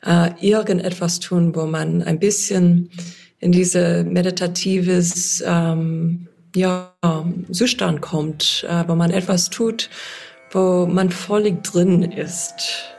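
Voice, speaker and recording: Slow, gravelly American woman speaking German. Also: fairly low pitch, steady tone, darkish tone, soft background music. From United States. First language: English. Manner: slow, searching German with many fillers. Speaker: guest, pianist and psychologist. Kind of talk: podcast interview.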